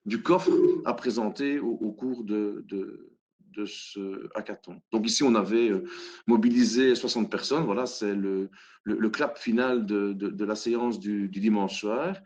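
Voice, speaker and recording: A man's voice: fairly low pitch, slightly rough. A man with a Belgian French accent presenting a hackathon method in a webinar, hesitant, with many fillers and restarts. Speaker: hackathon facilitator. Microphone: video call.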